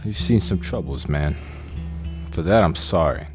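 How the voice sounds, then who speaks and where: A wary, gruff ex-crook, played by a man with a very deep voice. He talks with guarded suspicion and snaps into sudden temper. Faint music sits under the audio-drama scene. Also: lively intonation, unhurried, a little mellow. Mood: resigned. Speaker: retired crook. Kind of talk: amateur audio drama acting.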